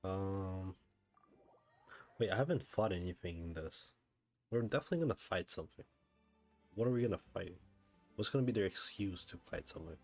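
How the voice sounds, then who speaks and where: A man with a low voice commenting on a video game. He rambles casually in slangy, sweary reactions, with faint game music behind him. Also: fairly quick, long pauses. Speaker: game streamer.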